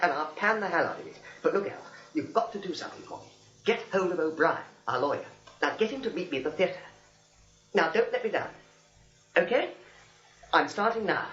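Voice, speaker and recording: A man's voice: sits high, a bit bright. A man playing a flustered young theatre critic in a 1940s radio comedy, stammering and repeating himself. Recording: dull old radio drama recording.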